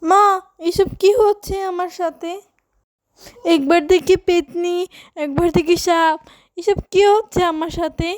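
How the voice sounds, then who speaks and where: A woman's voice: very high voice, fairly even intonation, measured pace, long pauses, darkish tone. A woman voicing a headstrong, dramatic teenage daughter in a cartoon, with a whiny, theatrical delivery. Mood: panicked.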